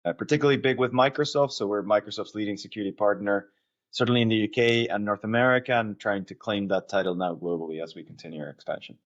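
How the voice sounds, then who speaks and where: Low-voiced man, slightly muffled audio. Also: steady tone, fast talker. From Argentina. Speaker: company founder being interviewed. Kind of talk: conversational interview answers.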